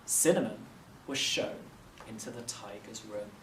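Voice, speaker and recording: Bloke reading a kids story aloud, dramatic measured phrasing, echoey room, faint noise. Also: male voice, on the low side, sharp tone. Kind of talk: expressive children's story narration. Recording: roomy, distant mic, faint noise.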